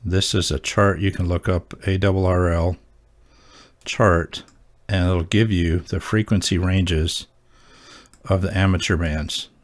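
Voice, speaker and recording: A man's voice: low voice, steady tone, takes it slowly. A man hosting a hobby video, explaining and demonstrating gear in a casual, rambling way as he thinks aloud. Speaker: host of an amateur radio hobby channel.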